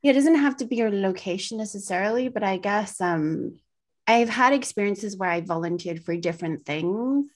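A woman's voice: mid-range voice, expressive, normal speed. A woman co-hosting a podcast in casual conversation, with a soothing voice, talking in meandering, self-correcting sentences full of fillers. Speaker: podcast co-host.

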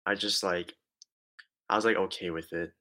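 A man who is a guest in a casual interview, answering in a hesitant, halting way. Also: deep voice, fairly even intonation, pushed and bright. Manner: hesitant, halting, with many "um" and restarts. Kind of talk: casual conversation.

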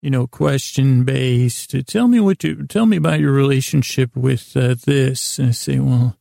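Creaky, breathy American man rambling softly. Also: medium pitch, dry recording, clean studio sound. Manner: halting, stammering, self-interrupting, whimsical. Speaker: sleep podcast host. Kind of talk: rambling, meandering bedtime monologue.

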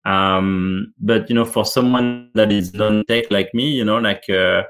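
Hesitant man, low voice. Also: steady tone, unhurried, fairly bright tone, faint music behind. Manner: hesitant, many fillers and restarts. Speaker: guest. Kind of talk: casual interview chat.

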